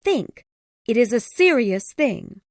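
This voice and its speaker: A man's voice: very high-pitched, big pitch swings, takes it slowly, no echo, studio quality, voice pushed up, full character voice. A man reading a story aloud, voicing an anxious, sorrowful young woman in a pleading tone. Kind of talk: audiobook character voice.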